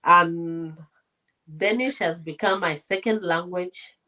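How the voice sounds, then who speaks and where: A woman's voice: lowish voice, slow, sharp tone. A woman from Congo whose first language is Swahili, speaking as an interview guest in an earnest, firm and reflective way. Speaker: interview guest, politician and former translator. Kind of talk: conversational interview.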